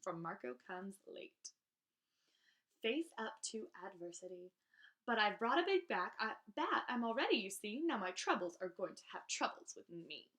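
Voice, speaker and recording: A woman's voice: mid-range voice, big pitch swings, normal speed, fairly bright tone. A woman reading children's verse aloud as a storybook host, in a theatrical, emphatic manner.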